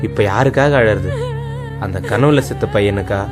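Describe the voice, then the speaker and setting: A man's voice: lowish voice, moderate pace, lightly acted. A man voicing a bewildered farmer father who muses in a dazed, puzzled way about dreams and grief, with music behind. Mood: dazed musing. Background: background music.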